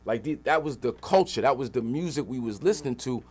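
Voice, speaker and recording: A man's voice: medium pitch, expressive, a little fast, darkish tone, breathy edge. A middle-aged man telling stories in an emphatic, streetwise, slangy way as a radio interview guest. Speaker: interview guest, rapper and activist. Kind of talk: animated storytelling in a radio interview.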